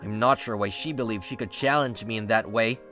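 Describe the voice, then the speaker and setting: A woman's voice: rumbling low, fairly quick, a bit bright, soft background music. A woman voicing a stubbornly guarded, exasperated young male office recruit in a manga dub, narrating and speaking his lines defensively. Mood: guarded, resigned. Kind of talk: dramatized first-person story narration and dialogue.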